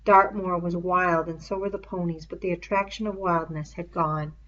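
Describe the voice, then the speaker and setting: Bright-voiced woman reading a novel aloud. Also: lowish voice, steady tone, moderate pace, lo-fi sound. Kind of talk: expressive literary read-aloud narration.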